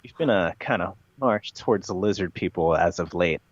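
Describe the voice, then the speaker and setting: A man's voice: low-pitched, animated, normal speed, breathy edge, dry recording, lo-fi sound. A man being interviewed in a casual conversation, telling things in a rambling, matter-of-fact way. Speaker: podcast guest, interviewee.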